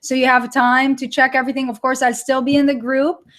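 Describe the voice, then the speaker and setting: A woman's voice: high-pitched, flat delivery, fairly quick, fairly bright tone. A middle-aged woman with an Israeli accent, hosting a live webinar and chatting casually with viewers, repeating words for emphasis. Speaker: online course creator hosting a live webinar.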